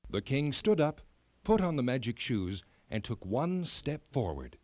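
A man narrating a children's fairy tale in an expressive, measured storytelling style. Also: mid-range voice, no room sound.

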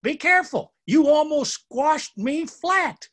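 A man's voice: very high-pitched, expressive, slow pace, voice pushed up, full character voice. A man voicing a tiny, bossy male ant with a huffy, testy manner in a children's storybook. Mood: alarmed, indignant. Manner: shouting, huffy.

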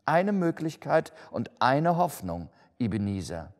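A man's voice: mid-range voice, very animated, unhurried, pitched above the performer's own voice. A man voicing a stern, doomed male ghost in chains for a storybook, solemn, declamatory and lamenting. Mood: urgent, warning. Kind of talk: dramatic storybook reading. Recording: long hall-like reverb.

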